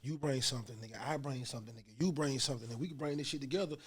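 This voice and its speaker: A man's voice: mid-range voice, very fast. A man hosting a casual podcast interview, talking animatedly in profane street slang and asking after his guest's story. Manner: animated, profane street slang, frequent "you know what I'm saying". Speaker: podcast host.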